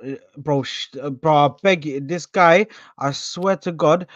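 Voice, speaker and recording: A man hosting a football chat on a video call, animated and slangy, often saying "bro, listen". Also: a little high, measured pace, no room sound, highs cut off. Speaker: channel host.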